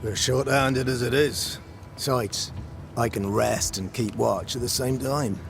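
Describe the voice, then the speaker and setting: Slow, gravelly, weary soldier. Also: male voice, medium pitch, background noise. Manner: rough colloquial speech, stubborn and dismissive. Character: weary, proud, self-deprecating male soldier on watch. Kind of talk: video game cutscene acting.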